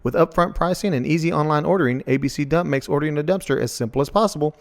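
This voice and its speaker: A man's voice: fairly high pitch, fast talker. A man announcing a scripted advertisement in a promotional read.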